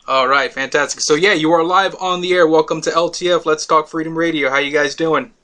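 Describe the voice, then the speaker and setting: A man's voice: on the high side, steady tone, brisk, sharp tone. A man from Miami hosting a casual talk radio show, rambling with many fillers.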